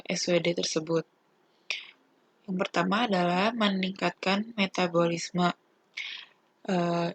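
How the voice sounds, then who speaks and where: Young Indonesian woman, low husky voice. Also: dry recording. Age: young adult. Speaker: physiotherapy student presenter. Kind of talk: student presentation explaining a topic.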